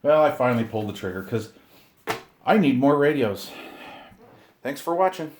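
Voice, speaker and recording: A middle-aged or older man chatting casually to viewers while unboxing, excited and rambling, often talking to himself. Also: mid-range voice, moderate pace. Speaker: hobbyist video host. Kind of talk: casual unboxing chat to camera.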